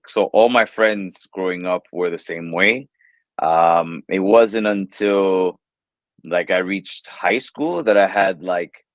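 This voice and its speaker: A relaxed man in his thirties with a New York accent talks in a lowish voice, rambling easily and sprinkling "like" and "you know" everywhere. The sound is close and dry, with no room around it. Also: dry recording. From New York, USA. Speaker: English teacher, guest. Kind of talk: casual interview chat.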